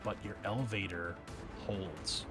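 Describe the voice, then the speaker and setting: Low-voiced man running a tabletop game. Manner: halting, conversational improvised narration. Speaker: game master. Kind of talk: narrating a tabletop roleplaying game. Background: background music.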